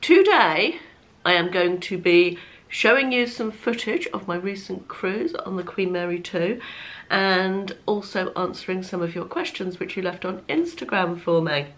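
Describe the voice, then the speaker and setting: A woman talks straight to camera in an easy, chatty vlog. Her voice sits a little low and sounds slightly croaky, and she is self-deprecating, laughing between phrases. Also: expressive, measured pace, a bit bright. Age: 50s. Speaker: lifestyle vlogger. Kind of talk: casual chat to camera.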